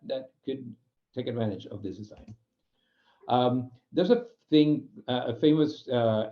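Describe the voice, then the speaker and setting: A man with a Spanish accent explains design ideas in a loose, unscripted talk, his pitch sitting in the middle range. He often breaks off and restarts his sentences while he hunts for the right word. The recording sounds a little dull and muffled, like online talk audio. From Spain. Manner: halting, restarts phrases, searches for words. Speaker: UX program manager giving a guest talk. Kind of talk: informal explanatory presentation.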